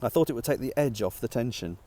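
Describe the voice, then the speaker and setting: A man's voice: mid-range voice, expressive, quick pace, warm tone, lightly acted. A man voicing a playful male prankster with a teasing, innocent manner in an audiobook. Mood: innocent, apologetic. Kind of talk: audiobook character dialogue.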